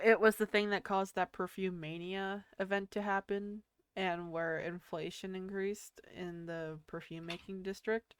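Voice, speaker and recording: A woman with a fairly low, clear and smooth voice gives casual game commentary, explaining story details like a streamer. The sound is dry and close, with very little room in it. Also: no room sound. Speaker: gaming streamer.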